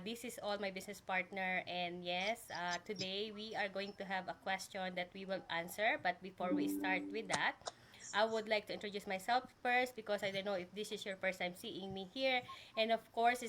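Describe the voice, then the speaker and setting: A woman's voice: mid-range voice, pushed and bright. Filipino woman host, casual and filler-heavy, slight background hiss. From Philippines. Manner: hesitant with many fillers, laughs often. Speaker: host of a group live stream. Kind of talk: casual live-stream chat.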